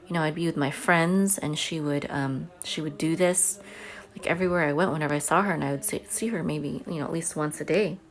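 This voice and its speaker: A woman's voice: deep voice, brisk. A homeschooling mother telling a personal story to camera in a chatty, earnest way. She says she has a big voice.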